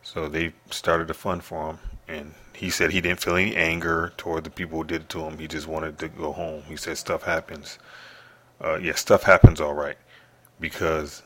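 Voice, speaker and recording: A man hosting his own podcast from an apartment, speaking softly in a halting, rambling monologue full of restarts and fillers. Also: very deep voice, flat delivery. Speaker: podcast host.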